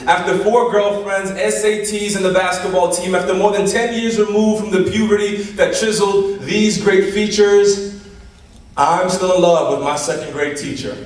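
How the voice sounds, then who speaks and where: A young man from the Dominican Republic, a poet who chats hesitantly and then performs spoken word with a rhythmic, punchy delivery. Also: high-pitched. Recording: echoey hall, far from mic.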